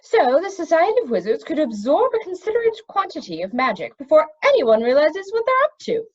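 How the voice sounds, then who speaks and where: Woman reading as a pedantic, high-pitched magician. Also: huge pitch swings, fairly quick, dull audio. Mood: pedantic, assured. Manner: pedantic, formal wording. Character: long-winded, technical-minded male magician.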